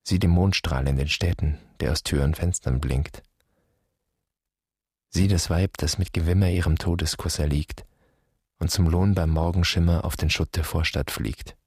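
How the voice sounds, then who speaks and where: A man's voice: steady tone, moderate pace, darkish tone, no room sound, clean studio sound. An actor playing a grave, bitter man pondering his dead father in a questioning radio drama monologue. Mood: grave declamation. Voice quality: husky, very deep.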